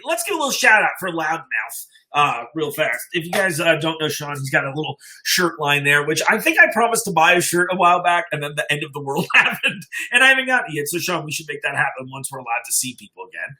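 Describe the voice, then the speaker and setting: Bright-voiced man chatting as livestream host. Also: on the high side, animated, quick pace, few pauses. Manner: informal, chatty, appreciative. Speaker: livestream host and story reader. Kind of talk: casual livestream chat.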